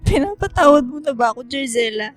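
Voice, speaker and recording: A woman's voice: high voice, animated, brisk, faint music behind. A woman playing a naive, lovestruck woman in her twenties in a radio drama, with dramatic, emotional delivery. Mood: pleading. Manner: dramatic, pleading.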